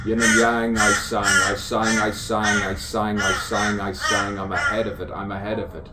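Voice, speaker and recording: Low-voiced man musing, distant and noisy. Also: flat delivery, moderate pace. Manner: musing, repeats phrases insistently, rhyming wordplay. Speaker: poet improvising a spoken soliloquy. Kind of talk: improvised spoken-word poetry, stream of consciousness. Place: outdoors on an avenue. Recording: distant mic, clear outdoor background noise. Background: crows and birds cawing.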